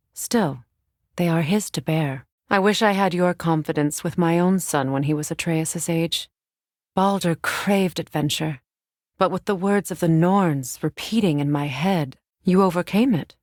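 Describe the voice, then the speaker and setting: An actress voicing a grave, caring Norse goddess and warrior mother in a video game, with measured, formal, thoughtful diction. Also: on the low side, dry recording, clean studio sound, natural voice. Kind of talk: scripted game dialogue.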